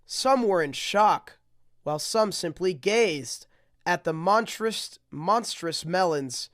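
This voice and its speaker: A storybook reader with a very animated delivery and an unhurried, slow pace. The reading is theatrical and drawn out, broken by muttered, deadpan asides. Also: mid-range voice. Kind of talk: reading picture books aloud with joking asides.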